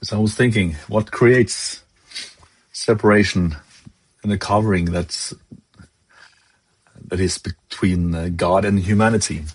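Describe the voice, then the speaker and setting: A low-voiced man with a Norwegian accent delivers a devotional talk in English, his second language. His voice is husky and slightly breathy, and he speaks slowly, stopping often to hunt for the right word. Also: fairly even intonation. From Norway. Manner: halting, searching for words, many fillers. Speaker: lay preacher. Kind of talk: devotional sermon.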